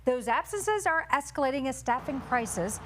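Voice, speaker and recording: Woman, American accent, TV anchor, slightly high pitch, scripted news read, light ambient noise. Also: lively intonation, moderate pace, a bit bright, low-quality recording.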